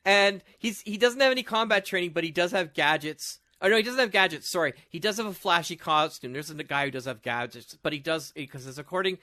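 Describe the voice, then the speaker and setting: A man chatting casually as a podcast host, telling stories in an animated way. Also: sits high, brisk, few pauses. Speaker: audio drama show host and podcast panelist.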